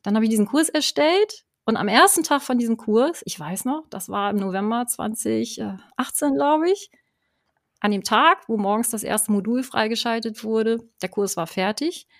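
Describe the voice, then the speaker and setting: A woman's voice: a little high, vocal fry, dry recording, clean studio sound. A middle-aged woman talking in an interview as a guest, chatty, with many fillers and tag questions. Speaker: guest, online course creator. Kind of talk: conversational interview.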